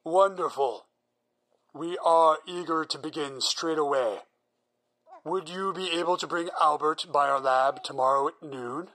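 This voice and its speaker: A man's voice: a little high, unhurried, pushed and bright, dry recording, poor audio. A man voicing an obsessive 1920s scientist who dictates his journal in a formal, precise, detached way. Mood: polite. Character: obsessive male 1920 scientist, coldly clinical. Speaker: voice actor in an audio drama. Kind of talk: audio drama acting, journal narration.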